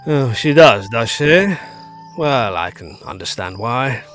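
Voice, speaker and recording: An actor playing a bold, curious gentleman friend in a period ghost story, theatrical, old-fashioned and inquisitive. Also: mid-range voice, animated, slow pace, faint music behind. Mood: teasing, curious. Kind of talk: period ghost story audio drama acting.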